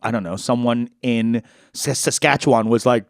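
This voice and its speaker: A man from New York co-hosting a podcast in casual chat, swearing freely and asking rapid questions. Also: mid-range voice, vocal fry, clear, resonant tone, no echo, studio quality. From New York, USA. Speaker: podcast co-host.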